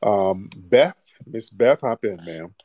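A man's voice: lowish voice, expressive, slow pace, warm tone, no echo, clean studio sound. A man hosting a live call-in stream, teasing and mocking his callers in loose, rambling chat. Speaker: livestream host taking callers.

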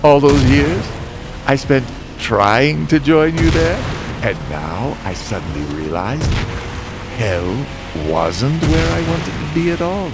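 Guy as burnt-out doomed driver dad telling his comic story, brooding, slow but expressive, music under. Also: fairly high pitch, heavily acted. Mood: wry, intense. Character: world-weary, morbid fatalistic father, damned stunt driver. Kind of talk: scripted first-person dramatic narration.

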